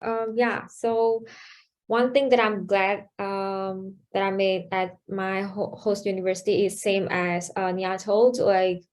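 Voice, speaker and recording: A young woman from Malaysia gives an earnest answer, pausing now and then to find her words. Her voice is middle-pitched and plain. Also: flat delivery, a little mellow. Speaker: student panelist. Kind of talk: casual panel answer. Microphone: video call.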